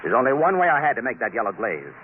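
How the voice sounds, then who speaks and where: An actor playing a stubborn, earnest colonial agent who also narrates, in a 1950s radio drama. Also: male voice, medium pitch, big pitch swings, fast, close to an everyday voice. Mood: defiant. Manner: defiant, earnest. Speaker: narrator and lead. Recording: scratchy tinny old radio broadcast.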